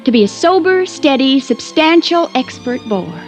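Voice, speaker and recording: A woman playing a bitter, lovelorn young American heiress in a vintage radio drama, speaking in a sardonic, dramatic, halting way. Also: high voice, big pitch swings. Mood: sardonic, biting. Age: young adult. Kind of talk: 1940s-50s radio drama acting. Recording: muffled old radio recording with music.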